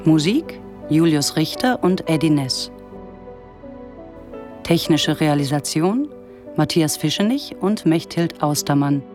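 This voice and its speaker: A woman announcing a radio play's credits in a measured, neutral, clearly articulated scripted read. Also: deep voice, lively intonation. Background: music.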